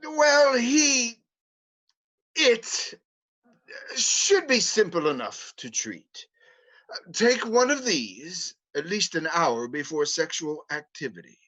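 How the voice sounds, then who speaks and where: A man plays a pompous doctor at a mental hospital, with a voice that swings widely in pitch. He paces his words out slowly and halts on fillers. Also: sing-song, sharp tone, exaggerated voice, rough recording. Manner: hesitant, stumbling over fillers. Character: pompous male hospital psychiatrist called the Great Oz. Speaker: play reader. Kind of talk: livestream script reading. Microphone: home mic on a livestream.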